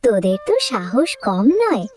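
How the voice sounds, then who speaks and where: A woman's voice: medium pitch, big pitch swings, normal speed, mellow, lightly acted. A woman voicing a young, indignant female ghost in a dramatic cartoon fairy tale. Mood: menacing, angry. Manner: dramatic, threatening when angered.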